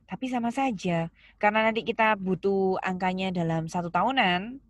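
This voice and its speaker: A woman's voice: mid-range voice, normal speed. A woman giving a step-by-step accounting lesson, didactic, posing questions and stressing key terms. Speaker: accounting lecturer. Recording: clear background noise, keyboard clicks.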